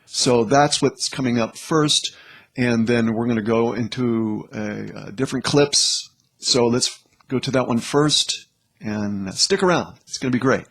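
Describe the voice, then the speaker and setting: A man's voice: medium pitch, measured pace, a bit bright. A man hosting in a casual, informal way, talking to viewers in a rambling, slightly hesitant manner. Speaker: host presenting clips.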